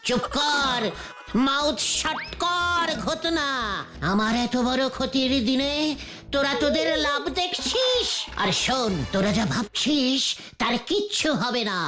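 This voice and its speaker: A woman voicing an excitable, put-upon young bachelor uncle in a cartoon, theatrical and full of exclamations. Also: high-pitched, a little slow, faint music behind, exaggerated voice. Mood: indignant. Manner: theatrical, exclaiming, stammering when flustered. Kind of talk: exaggerated cartoon voice acting.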